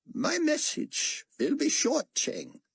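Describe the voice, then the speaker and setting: A man playing a stiff, nervous older doctor, very high. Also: wildly swooping pitch, slow pace, fairly bright tone, dry recording, studio quality, heavily acted. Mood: nervous, formal.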